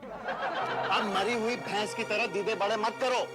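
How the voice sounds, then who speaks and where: A henpecked husband, speaks in a high, overwrought voice as he strains to be manly. The delivery is theatrical sitcom comedy, over very loud music. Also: steady tone, takes it slowly. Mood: blustering, bossy. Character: meek henpecked husband trying to act manly. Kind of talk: broad Indian TV sitcom acting.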